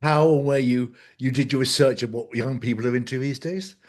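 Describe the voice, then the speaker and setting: A man with an average-pitched voice works as an interviewer. He puts his questions in a casual, conversational style. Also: a little fast, a little mellow.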